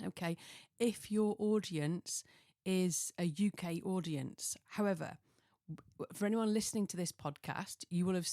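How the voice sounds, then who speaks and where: A slightly hoarse woman chatting casually and solo as a podcast host, rambling and self-deprecating, often wandering off on tangents. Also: on the low side, a little mellow, no echo, studio quality. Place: small home office.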